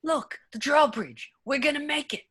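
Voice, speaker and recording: A man voicing a brash, excitable talking cartoon car with a raspy voice in an amateur script read. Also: very high-pitched, normal speed. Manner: exclamatory, wisecracking.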